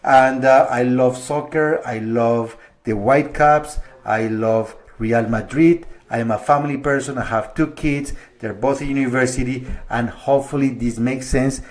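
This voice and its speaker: A middle-aged man explains money matters in a conversational video, working through the figures one step at a time. His voice sits at an ordinary middle pitch for a man, with small restarts as he goes. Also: steady tone, normal speed, few pauses. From Colombia. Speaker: mortgage broker. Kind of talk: explanatory video monologue to viewers.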